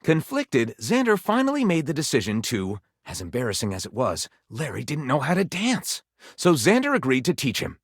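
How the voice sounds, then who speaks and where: A man's voice: medium pitch, wildly swooping pitch, normal speed, husky, dry recording, clean studio sound, full character voice. A man playing a theatrical, tongue-in-cheek narrator of pulpy detective tales in a video game, arch and savoring every dramatic turn.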